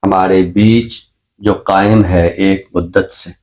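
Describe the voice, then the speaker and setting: A middle-aged Pakistani man, a professor and poet, speaking in a measured, professorial way and repeating phrases for emphasis on a literary show. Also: low voice, fairly even intonation, a little mellow. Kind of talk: reflective literary talk and poetry recitation.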